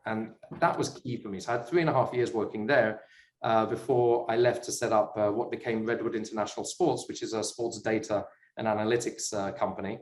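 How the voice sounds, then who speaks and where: A man's voice: on the low side, steady tone, brisk. Chatty middle-aged British man, echoey room. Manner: self-deprecating, chatty, with frequent fillers. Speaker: guest, sports investor. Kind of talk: casual interview talk.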